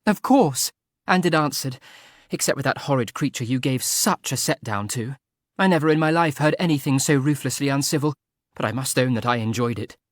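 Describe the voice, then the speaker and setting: Lively young lady, high and breathless, quick to laugh; male narrator puts her on. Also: no room sound, clean studio sound, close to an everyday voice. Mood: eager.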